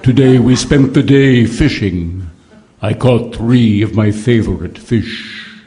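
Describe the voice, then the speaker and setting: A genteel undertaker in an old-time radio comedy, friendly yet morbid. The delivery is drawn out, mannered and droll, every phrase savored at a leisurely pace. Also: male voice, fairly low pitch, slow pace, warm tone, slight background noise.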